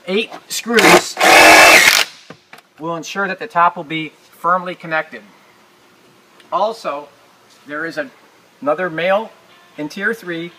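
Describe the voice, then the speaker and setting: A man's voice: fairly high pitch, takes it slowly, long pauses, bright, forceful tone. A man giving practical, informal step-by-step instructions as he demonstrates an assembly. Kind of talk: hands-on instructional narration. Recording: noisy room, uneven distance from mic.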